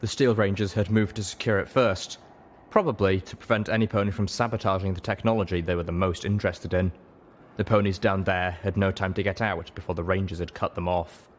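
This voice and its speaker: A man reading a dramatic story as an audiobook narrator, measured, solemn and emotive. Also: deep voice, slight background noise. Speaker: audiobook reader.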